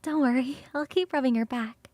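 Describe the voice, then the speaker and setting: Woman playing a succubus roommate, high-pitched, coaxing, affectionate, hungry for cuddles, teasing, ASMR roleplay, dry close sound. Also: dry recording, natural voice. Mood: soft, intimate. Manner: coaxing, sighing, drawn-out savouring, shifting into soft whispers.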